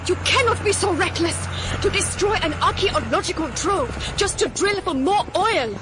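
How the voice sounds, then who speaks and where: A woman's voice: very high-pitched, expressive, moderate pace, background noise. A woman playing an earnest, protective archaeologist in an audio drama, urgent and precise. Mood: alarmed protest. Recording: music behind the voice.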